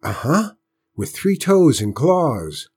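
A man's voice: big pitch swings, slow, a little mellow, slightly rough, full character voice. A man voicing a proud, stubborn eight-year-old boy with a rural Southern US accent for a story. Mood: pleading. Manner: eager, earnest, raised pitch. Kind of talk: storybook character voice in narration.

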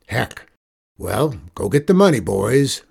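A man voicing a bullying outlaw gang boss from a Western story, with a rough growl and drawling, folksy cowboy slang. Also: medium pitch, very animated, slow pace, darkish tone, full character voice. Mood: grumbling, restless.

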